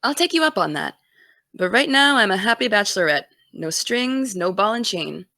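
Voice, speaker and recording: A woman's voice: medium pitch, big pitch swings, moderate pace, bright, forceful tone, no room sound, studio quality. A woman playing a driven, distracted college-bound young woman in an audio drama, speaking casually and wryly. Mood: casual, guarded. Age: young adult. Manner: casual, wry. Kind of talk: naturalistic audio drama dialogue.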